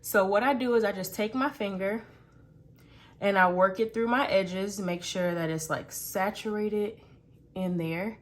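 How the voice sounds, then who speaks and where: A woman's voice: mid-range voice, unhurried. A young pregnant woman with a nasal, congested voice, casually chatting on her vlog while she oils her hair at her home vanity, rambling with lots of fillers and restarts. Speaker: beauty vlogger. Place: home vanity room, indoors.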